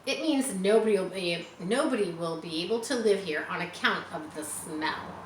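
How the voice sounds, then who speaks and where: A woman voicing a composed, wise female spider in a storybook, speaking in a measured, articulate way. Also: lowish voice, echoey room, slight background noise, close to an everyday voice, rough recording. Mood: wry, knowing.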